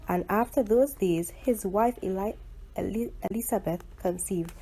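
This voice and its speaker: A woman reading a Bible story aloud in archaic English, in a measured and solemn recitation. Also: medium pitch, warm tone, breathy edge, poor audio.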